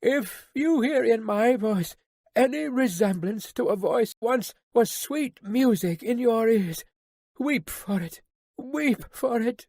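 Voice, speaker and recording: A woman's voice: fairly high pitch, lively intonation, slow pace, darkish tone, no echo, pitched above the performer's own voice, exaggerated voice. A woman voicing a young woman, a tender daughter, who pleads earnestly and repeats her refrains. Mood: pleading. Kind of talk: audiobook character reading.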